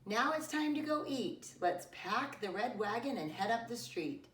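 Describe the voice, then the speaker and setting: Woman as storybook mother, calm, kindly firm, clear measured words, a little off the mic. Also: fairly high pitch, recorded from a distance, pitched above the performer's own voice. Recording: roomy echo, away from the mic.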